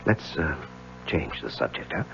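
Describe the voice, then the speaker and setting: An actor playing an earnest, evasive young married attorney, hesitant and confiding, in a 1970s radio drama. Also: male voice, a bass voice, very animated, a little slow, vocal fry, fairly bright tone, a little hiss or hum, full character voice. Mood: gentle, tender. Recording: dull lo-fi 1970s radio recording.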